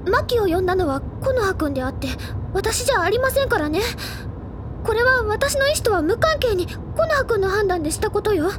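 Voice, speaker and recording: A woman playing a bookish high-school senior girl in an audio drama, proud and easily flustered, with a theatrical, gushing manner. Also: very animated, a little fast, noisy background. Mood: huffy, pleading. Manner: theatrical, gushing, self-important. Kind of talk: anime-style audio drama acting. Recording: studio drama with music underneath.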